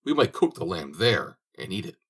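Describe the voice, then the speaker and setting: A man's voice: low voice, sing-song, normal speed, a bit bright, slightly rough, heavily acted. A man voicing a sly, greedy soldier who lies boldly, his voice raised and pleading. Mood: wheedling.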